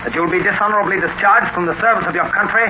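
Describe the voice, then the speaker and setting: An actor playing a formal army captain, official and declamatory, in 1940s radio drama style. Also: male voice, high-pitched, racing, lightly acted. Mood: solemn, official. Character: formal army captain reading out court-martial verdict. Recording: noisy, muffled, echoey vintage radio broadcast.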